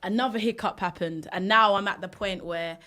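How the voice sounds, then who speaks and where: Woman from the UK, rapper guest, candid casual chat, average pitch. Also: pushed and bright. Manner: chatty, candid, quick to laugh.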